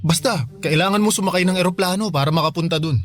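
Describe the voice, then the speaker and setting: An actor playing an affectionate, gentle uncle in a radio drama, playful and patient as he talks to a child. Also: high voice, quick pace, faint music behind, close to an everyday voice. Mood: gently explaining. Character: affectionate, gentle uncle talking to his young nephew.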